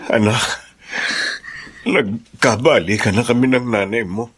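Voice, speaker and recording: A man's voice: mid-range voice, measured pace, a bit bright, husky. An actor playing a repentant, earnest father in a Filipino radio drama, with a Filipino accent. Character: repentant father asking his children's forgiveness.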